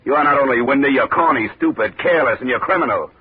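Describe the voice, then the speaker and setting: A man's voice: mid-range voice, animated, fast talker, slight background noise, close to an everyday voice. A man playing a tough, sardonic insurance investigator in a 1950 radio detective drama, with a clipped, wisecracking delivery. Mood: angry, scornful. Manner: wisecracking, hard-boiled, clipped. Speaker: lead actor and narrator. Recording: tinny old 1950 radio recording.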